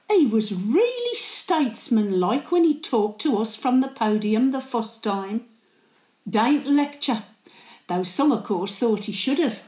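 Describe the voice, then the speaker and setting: An older woman playing a chatty, cheeky, warm-hearted working-class Birmingham great-grandmother, gossiping and rambling through a monologue. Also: high voice, reverberant. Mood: confiding. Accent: Birmingham (Brummie). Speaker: actor performing a dramatic monologue.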